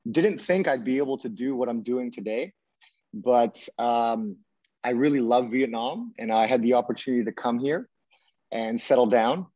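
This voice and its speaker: A man's voice: mid-range voice, moderate pace, dry recording. Man on a dry, muffled call. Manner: relaxed, steady storytelling with fillers. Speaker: guest, strength coach. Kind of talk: casual remote interview.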